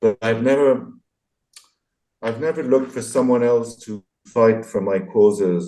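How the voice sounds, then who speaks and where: A man's voice: on the low side, warm tone, poor audio. A man in his sixties speaking as an interview guest, reflective and articulate, thoughtful and hesitant, with a self-deprecating way of talking. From France, partly raised abroad. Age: 60s. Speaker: interview guest, graphic novelist and illustrator. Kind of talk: reflective conversational interview answers.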